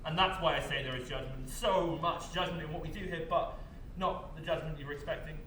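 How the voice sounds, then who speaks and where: A man acting a weary, philosophical afterlife official on stage, speaking in measured, cryptic lines. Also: fairly high pitch, steady tone, bright, forceful tone. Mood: solemn. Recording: echoey stage, distant mic, faint noise.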